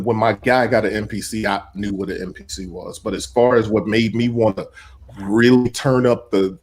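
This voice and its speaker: A man's voice: on the low side, darkish tone. A man co-hosting a podcast, laid-back and laughing as he tells stories. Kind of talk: casual chat.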